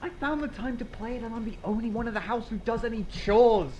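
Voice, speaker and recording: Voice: mid-range voice, fast, dark tone, slight background noise, close to an everyday voice, rough recording. An actor playing a small, bossy, exasperated and teasing podcast host, emphatic and mock-grandiose in audio drama banter.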